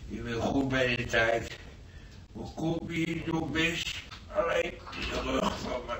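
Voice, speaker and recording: An actor playing a confused, plaintive old hospital patient with a bad back in a radio play. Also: male voice, mid-range voice, slow pace, lightly acted. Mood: muddled, plaintive. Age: older. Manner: rambling, plaintive. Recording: echoey, distant radio play with hiss.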